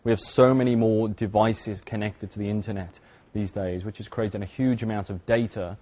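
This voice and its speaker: A man's voice: lowish voice, steady tone, moderate pace, dark tone. A man from the UK with a British accent, giving a conference talk in a loose, conversational way with many fillers and restarts. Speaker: conference speaker. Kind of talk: presentation talk.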